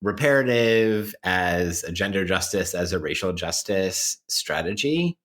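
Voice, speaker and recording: Deep-voiced, thoughtful man co-hosting a podcast. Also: animated, fairly bright tone. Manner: thoughtful, halting, meandering with many qualifiers. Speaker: podcast co-host. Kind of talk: reflective conversational discussion.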